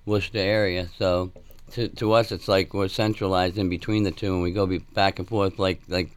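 A musician from Massachusetts, hoarse and raspy from laryngitis, talking in a relaxed, rambling way full of fillers during an interview. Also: male voice, deep voice, brisk. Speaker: musician guest. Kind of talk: casual interview talk.

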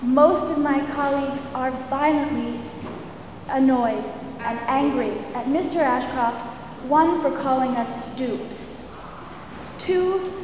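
A librarian, a woman with a naturally soft voice pushing herself to speak louder, gives an earnest, firm public comment at a hearing. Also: sits high. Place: large public meeting hall. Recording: echoey hall with room noise.

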